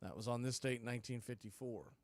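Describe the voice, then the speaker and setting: A man's voice: medium pitch, fast talker, no room sound, clean studio sound. A man giving live play-by-play commentary on a baseball game as the radio announcer.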